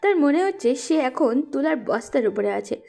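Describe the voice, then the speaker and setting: A woman's voice: sits high, sing-song, moderate pace, creaky, mellow. A woman narrating a scripted romantic story.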